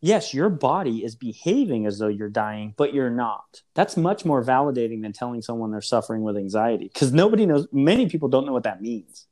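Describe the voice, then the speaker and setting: A man's voice: mid-range voice, sing-song, brisk, few pauses, darkish tone. A man who works as a therapist, speaking as a guest in a reflective, explanatory way with frequent fillers and restarts. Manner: thoughtful, explanatory, many hedging fillers. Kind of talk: reflective conversation.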